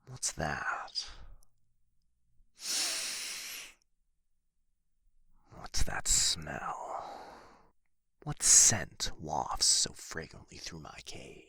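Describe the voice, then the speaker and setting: A man playing an irritable, proud dragon guarding his hoard in an ASMR fantasy roleplay, with a theatrical, haughty, breathy delivery. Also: a bass voice, very animated, drawn-out words, bright, forceful tone, dry recording, studio quality, exaggerated voice. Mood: suspicious.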